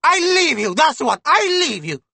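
A man's voice: very high-pitched, very animated, normal speed, vocal fry, pushed and bright, no room sound, voice pushed up, full character voice. A man voicing a fierce, scornful woman with a heavy foreign accent who crows and mocks. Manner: crowing, mocking, scornful.

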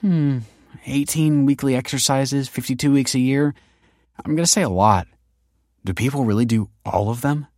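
A man voicing a feisty young woman in a narrated story, brisk, sardonic and emphatic. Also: mid-range voice, darkish tone, no room sound, clean studio sound, voice pushed up, close to an everyday voice. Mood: brisk, informative. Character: sharp-tongued, teasing, feisty young woman.

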